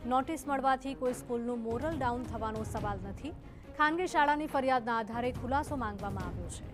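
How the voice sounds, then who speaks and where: A woman's voice: a little high, brisk, over music. A woman reading scripted news narration.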